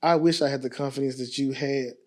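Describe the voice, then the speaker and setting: A man's voice: mid-range voice, fairly even intonation, fairly quick, a little mellow. An American man from Texas, a podcast guest telling stories casually in a playful, candid, emphatic way. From Dallas, Texas. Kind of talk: casual storytelling chat.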